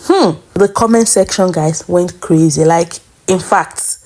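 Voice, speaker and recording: A woman's voice: lowish voice, measured pace, dark tone. A young woman from Nigeria hosting a casual commentary vlog, talking to her viewers in a chatty way. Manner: chatty, addresses viewers as guys. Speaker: vlog host.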